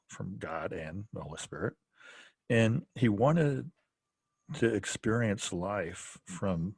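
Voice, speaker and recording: A man with a low, husky voice talks through ideas as a podcast co-host, pausing often and restarting his sentences. The sound is close and dry, with no room around it. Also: a little mellow, no echo. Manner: halting, full of restarts and "uh". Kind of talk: casual theology discussion.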